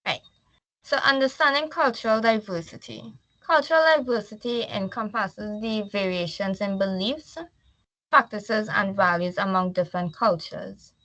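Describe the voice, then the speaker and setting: A woman's voice: mid-range voice, bright, forceful tone, no room sound, low-quality recording. A woman from Trinidad with a Trinidadian accent, giving a class slide presentation. She reads from slides, then explains in loose everyday talk.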